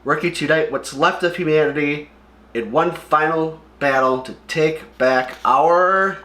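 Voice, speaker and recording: A man's voice: fairly high pitch, unhurried, sharp tone, slight background noise. A man reading the part of a grave woman warrior from a comic, solemn and expository. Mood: defiant.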